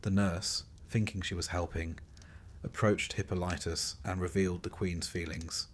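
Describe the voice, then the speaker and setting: A man narrating a scripted bedtime story in a measured way, with dry, wry understatement. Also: sits low, fairly even intonation, slight background noise.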